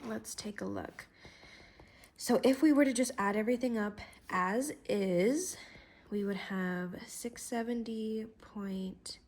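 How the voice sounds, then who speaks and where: A woman with a slightly congested voice from a cold talks casually through her budget as she writes. She goes slowly and thinks aloud over each number, in a friendly, chatty way. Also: mid-range voice, a little mellow. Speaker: vlogger doing her budget. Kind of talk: casual talk-through while writing.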